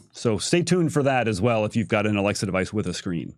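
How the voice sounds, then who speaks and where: A man's voice: on the low side, very animated, a little fast, dry recording. Male creative director, conversational livestream explainer, very dry recording. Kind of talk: casual explanatory panel chat.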